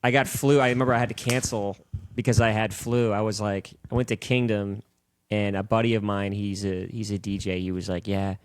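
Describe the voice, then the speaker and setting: A man's voice: fairly low pitch, level tone, fairly quick, clean tone, no room sound. A man in his early thirties hosting a podcast, easygoing, asking lots of questions. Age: 30s. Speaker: podcast host. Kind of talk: casual podcast chat.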